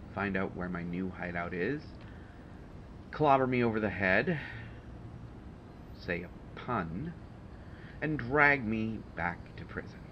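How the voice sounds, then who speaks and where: Through a dull, noisy recording comes a sardonic male supervillain acted by a man in an intimate audio roleplay. His voice is lowish and slow. He is testy and haughty, hesitating in a dry, exasperated way. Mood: grudging gratitude.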